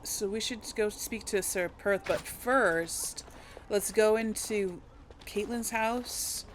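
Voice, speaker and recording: A woman's voice: medium pitch, slow, slight background noise. A woman hosting a casual role-play let's play, chatting and reacting aloud as she plays. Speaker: gaming host, role player. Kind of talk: casual let's play commentary with in-character dialogue. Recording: game music behind the voice.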